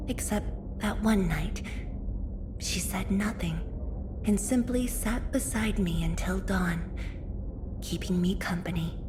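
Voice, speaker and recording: A woman acting as a gentle, composed scholar-leader from a video game. She speaks slowly and formally, with poise, at a middling pitch. Clear ambient sound sits behind her voice. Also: noisy background, lightly acted. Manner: measured, poised, formal phrasing. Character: composed, gentle, dedicated female scientist and leader, game heroine. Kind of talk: scripted video game character voice lines.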